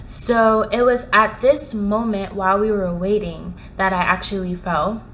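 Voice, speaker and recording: A woman's voice: mid-range voice, a bit bright. A woman vlogger narrating a storytime in a conversational, reflective way.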